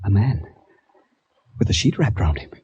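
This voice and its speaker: Uncertain strong fugitive, polite, halting delivery, soft mellow tone, extremely animated intonation, radio drama. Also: male voice, on the low side, slightly rough, lo-fi sound, exaggerated voice. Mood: tense, excited. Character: strong but unsure, well-mannered man on the run.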